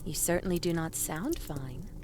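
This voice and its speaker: A woman playing a gentle, caring woman from a dream realm in an audio drama, speaking in somewhat formal phrasing. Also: on the low side, lively intonation, moderate pace, noisy background, close to an everyday voice. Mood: concerned, tender.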